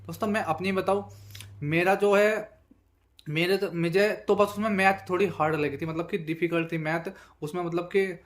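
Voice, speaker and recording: A man's voice: sits high, fairly even intonation, normal speed. A young man giving an informal analysis to viewers, conversational and repetitive, with frequent verbal tags. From Bijnor, Uttar Pradesh, India. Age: young adult. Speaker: exam candidate and video host.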